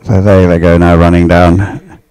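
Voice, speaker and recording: A man's voice: sits low, flat delivery, normal speed, a little mellow, clear, resonant tone, rough recording. A man giving live race commentary, musing aloud as he follows the action.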